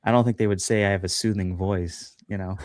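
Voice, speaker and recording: A man's voice: low-pitched, fairly even intonation, fairly quick, no echo. A man chatting as a guest on a livestream podcast, talking loosely with lots of fillers and self-deprecating asides. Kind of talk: casual chat on a livestream.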